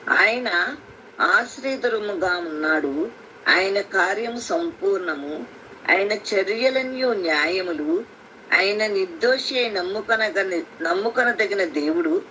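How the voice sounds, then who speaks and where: A woman's voice: mid-range voice, pushed and bright. A woman teaching from the Bible on a prayer conference call, explaining verses with frequent restarts and repetition. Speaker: preacher. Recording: phone line with faint hiss.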